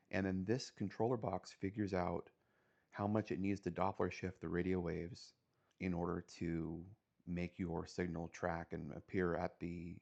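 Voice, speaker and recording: A man with a fairly low voice talks through a technical setup in a casual, explanatory style. He is plain-spoken and matter-of-fact, going step by step like a hobbyist radio operator giving a tour. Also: vocal fry, darkish tone, highs cut off.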